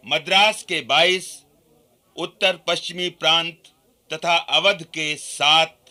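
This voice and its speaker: A forceful, sharp-toned reformer addresses a political assembly in a radio play. He speaks very slowly and formally, earnest and dignified, declaiming each phrase. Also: a little high, exaggerated voice. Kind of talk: Hindi radio play oratory.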